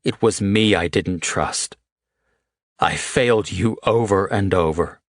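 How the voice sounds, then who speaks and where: A man voicing a gruff, guilt-ridden man who speaks softly and tenderly. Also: sits low, takes it slowly, no room sound, clean studio sound. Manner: soft, gruff.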